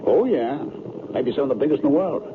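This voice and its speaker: A man acting out a brash, uneasy American builder in a vintage radio play. He speaks plainly and insistently, with a very animated pitch. The sound is thin and narrow, with clear background noise. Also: fast, lightly acted. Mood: casual, chatty. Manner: plain-spoken, colloquial, insistent. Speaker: actor in a radio drama. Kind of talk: old-time radio drama acting. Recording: noisy, tinny old radio recording.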